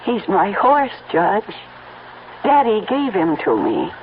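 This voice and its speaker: Proud defensive horse owner, emotional radio play acting, very expressive, muffled noisy old recording. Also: female voice, medium pitch, slow. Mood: hurt, defensive. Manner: earnest, emotional, protesting.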